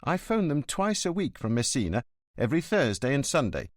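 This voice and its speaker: A man's voice: mid-range voice, sing-song, brisk, no echo, clean studio sound, pitched above the performer's own voice, lightly acted. A man voicing an anxious, worried grown son whose voice cracks as he pleads. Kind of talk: audiobook character voice.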